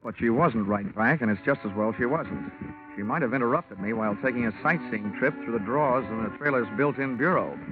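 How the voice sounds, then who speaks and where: A man's voice: mid-range voice, a little fast, close to an everyday voice. A man playing a wisecracking hard-boiled insurance investigator who narrates his case in a dry, clipped manner in a 1950s radio drama. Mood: wry. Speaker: narrator and lead detective. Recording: muffled old radio broadcast with music.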